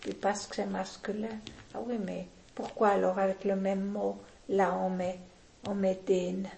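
Older woman, very gravelly and mellow, opinionated and deliberate, echoey room. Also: mid-range voice, level tone, a little hiss or hum. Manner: deliberate, opinionated, frequent restarts. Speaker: interviewee. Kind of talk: casual reminiscing interview.

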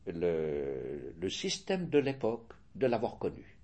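An older man with a Swiss French accent answering interview questions in a measured, hesitant way, often restarting and correcting himself. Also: deep voice, huge pitch swings, darkish tone.

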